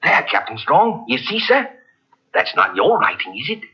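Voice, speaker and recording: An actor playing a frightened, superstitious ship's sailor who talks in rustic dialect, in 1940s radio acting style. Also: male voice, fairly high pitch, normal speed, husky, heavily acted. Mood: earnest. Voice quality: rustic dialect, earnest and deferential. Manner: excitable, urgent telling. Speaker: ship's crewman. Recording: lo-fi old radio recording from cassette.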